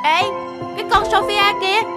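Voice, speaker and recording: A cruel stepmother scolds and bosses in a high, harsh, nagging voice. It is animated fairy-tale acting with music clearly behind it. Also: normal speed. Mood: scolding, impatient.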